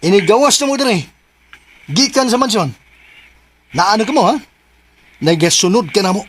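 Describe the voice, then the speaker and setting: A man's voice: high-pitched, expressive, moderate pace, a bit bright. A Filipino man playing a flamboyant, bossy, scheming son in a theatrical radio drama style. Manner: theatrical, repeats orders insistently. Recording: noisy radio drama with vehicle ambience.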